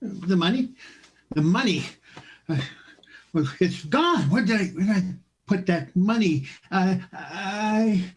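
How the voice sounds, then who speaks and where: A man's voice: high voice, lively intonation, slow pace. An actor playing a forgetful, bumbling old uncle in a radio play, muddled and hesitant in his talk. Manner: muddled, hesitant, muttering to himself.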